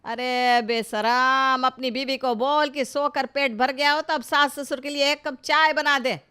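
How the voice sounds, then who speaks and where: A woman acts out an older village mother-in-law for an animated family story. Her voice is high and sharp, with a forceful edge. She nags and complains with heavy sarcasm, as a cartoon comedy scold. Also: measured pace, clean tone.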